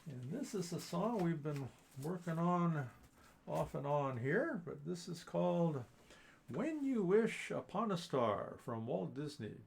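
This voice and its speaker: A man's voice: a little high, animated, a little slow, vocal fry, slight background noise. A man hosting a live ukulele class, talking in a friendly, teacherly way with frequent chuckles and fillers. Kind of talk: live online class talk.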